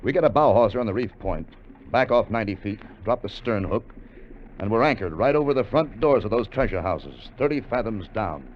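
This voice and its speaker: Earnest salvage expedition leader, acted by a man, low-pitched. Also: lively intonation, fairly quick, lightly acted. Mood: excited, explaining. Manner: hesitant starts, earnest explaining. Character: Fletcher Travis, earnest, cautious leader of a treasure salvage voyage. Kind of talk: 1950s radio drama acting. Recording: dull, faintly noisy vintage radio recording.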